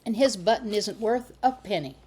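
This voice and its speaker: A woman's voice: mid-range voice, normal speed, mellow, breathy edge, natural voice. A woman voicing a bold, saucy little girl in a storybook reading, teasing and sing-song. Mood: eager.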